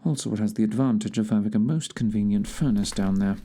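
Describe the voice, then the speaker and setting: An actor playing a shrewd, commanding gentleman detective in an audio theatre drama, with a deliberate, authoritative manner and old-fashioned phrasing. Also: on the low side. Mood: brisk, investigative.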